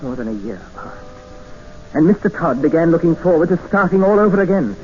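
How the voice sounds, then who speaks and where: Earnest captive Englishman, higher-pitched voice. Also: very animated, a little fast, a little mellow. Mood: grave, reflective. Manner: earnest, formal, dramatic. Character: desperate, educated male English captive expedition member, narrating. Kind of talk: 1950s radio drama narration and acting. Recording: muffled old radio recording with music.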